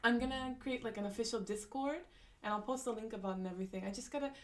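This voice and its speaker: A woman's voice: on the high side, racing. A young woman chatting casually on a live stream, reading out comments with lots of fillers, restarts and laughs. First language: not Japanese.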